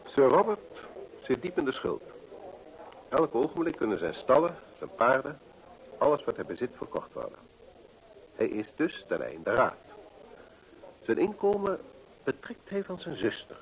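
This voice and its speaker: An actor playing a keen, methodical gentleman detective in an old radio play, deliberate and rhetorical as he reasons aloud. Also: medium pitch, sing-song, poor audio. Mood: dramatic, speculative.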